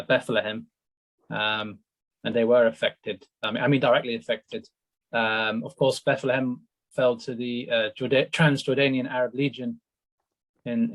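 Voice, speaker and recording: A man's voice: medium pitch, no room sound, poor audio. Precise male panelist, dry sound. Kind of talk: panel discussion.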